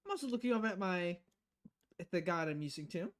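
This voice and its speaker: A woman game streamer reading visual novel dialogue aloud in a theatrical, expressive way. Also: fairly low pitch, fast talker.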